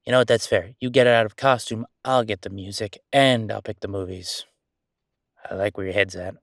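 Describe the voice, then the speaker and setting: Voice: medium pitch, a little fast, dry recording, clean studio sound, close to an everyday voice. An actor playing a chatty, sardonic ghost roommate in a roleplay audio, talking in a casual, teasing, exclamatory way. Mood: upbeat, casual. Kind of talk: roleplay audio acting, conversational.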